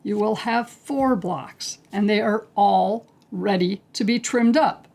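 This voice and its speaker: A woman teaching a quilting technique step by step, calm and unhurried, clearing her throat as she is losing her voice. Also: mid-range voice. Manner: calm, unhurried, talks through each step as she works. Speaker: quilting instructor. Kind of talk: step-by-step craft tutorial.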